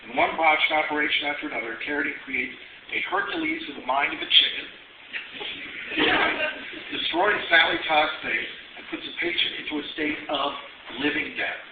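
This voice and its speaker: A man's voice: fairly high pitch, wildly swooping pitch, normal speed, gravelly. Wry male author reading to a bookstore crowd; tinny, echoey capture. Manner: wry and playful, relishing the campy material. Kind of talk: reading aloud to a bookstore audience. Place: bookstore event room with an audience. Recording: tinny, distant, echoey and hissy.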